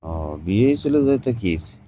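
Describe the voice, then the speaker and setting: A man's voice: lowish voice, very animated, fairly quick, mellow. A man in a casual, cajoling, colloquial phone chat. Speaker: caller in a staged phone conversation.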